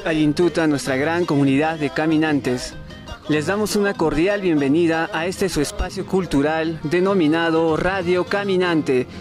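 A man with a Peruvian accent presenting a radio show from a script as its host. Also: high-pitched. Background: music bed.

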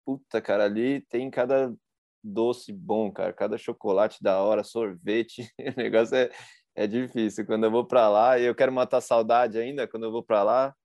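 A man's voice: on the low side, fairly even intonation, normal speed, a little mellow, no room sound, dull audio. A Brazilian man hosting a podcast, chatty and slangy, asking his guest questions with enthusiasm. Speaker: podcast host. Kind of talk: casual interview chat.

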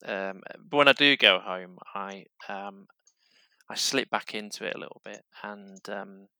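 A man with a light Yorkshire accent hosting a casual conversational interview, chatty and quick to laugh. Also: fairly low pitch, vocal fry, bright, forceful tone, clear, resonant tone, no room sound, studio quality. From Yorkshire, England. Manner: chatty, laughs easily, friendly back-and-forth. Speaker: host.

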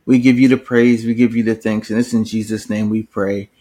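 A man hosting a faith podcast, praying in an earnest, steady cadence and introducing his guest. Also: fairly low pitch, brisk. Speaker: podcast host. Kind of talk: spoken prayer and show intro.

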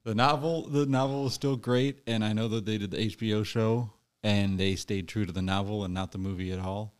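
A man's voice: fairly low pitch, steady tone, fairly quick, clear, resonant tone. A man in casual podcast chat who stumbles and restarts before making his point.

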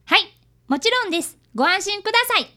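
Woman voicing an eager, very high-pitched little boy. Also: lively intonation, quick pace, full character voice. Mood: helpful, earnest. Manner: polite, bright and earnest. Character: eager, polite fifth-grade boy, an apprentice detective. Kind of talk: audio drama acting.

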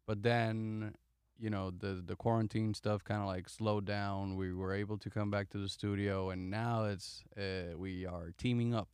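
A man's voice: on the low side, little pitch movement, moderate pace, a little mellow, clear, resonant tone, no room sound, studio quality. A man chatting casually in a group, rambling with lots of fillers as he explains. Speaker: video creator.

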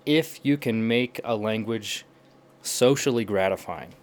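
A young man appearing as a podcast guest, explaining in an animated way and acting out little quotes as he goes. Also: lowish voice, a little slow. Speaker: podcast guest, language learner. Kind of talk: casual podcast chat.

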